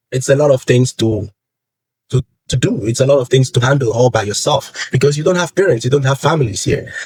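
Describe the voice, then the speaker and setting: Young Nigerian man with a husky voice. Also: medium pitch, dry recording, poor audio. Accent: Nigerian, Yoruba. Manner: earnest, repeats phrases for emphasis. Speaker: vlogger. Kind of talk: personal advice talk to camera.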